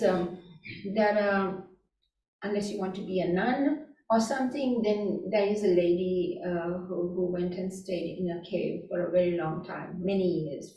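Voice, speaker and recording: Soft-toned woman meditation teacher in echoey room. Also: fairly low pitch. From Sri Lanka. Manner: gentle, unhurried, with frequent "you know" and "right?". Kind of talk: answering audience questions at a workshop. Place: community meeting room. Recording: echoey room, picked up from a distance.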